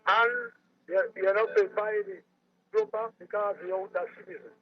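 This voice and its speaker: A man's voice: sits high, steady tone, normal speed. A man with a Belizean Creole accent calling in live to a radio show over a phone line. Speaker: phone-in caller. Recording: noisy band-limited phone line.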